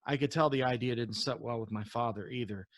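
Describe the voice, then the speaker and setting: A man who is an author reading his novel aloud as storybook narration, in an expressive storytelling manner with occasional stumbles. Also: medium pitch, fairly quick, no echo.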